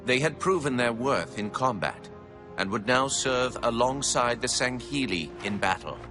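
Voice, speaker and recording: A man's voice: mid-range voice, animated, music in the background, natural voice. An actor voicing a solemn alien male warrior-elder who recounts his people's history in a video game. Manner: solemn, measured storytelling. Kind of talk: video game cutscene narration.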